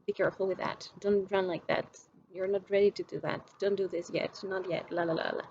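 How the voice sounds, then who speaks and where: A woman's voice: medium pitch, steady tone, brisk, a little mellow, dull audio, slight background noise. A young woman from Greece, talking to camera in a reflective personal vlog, musing aloud with many restarts while she searches for her words. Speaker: vlogger.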